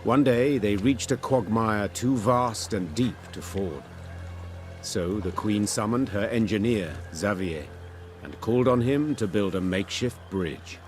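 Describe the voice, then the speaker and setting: An actor playing a solemn storyteller, narrating an epic fantasy game in a measured, old-fashioned way. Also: male voice, fairly low pitch, natural voice. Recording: prominent music behind the voice.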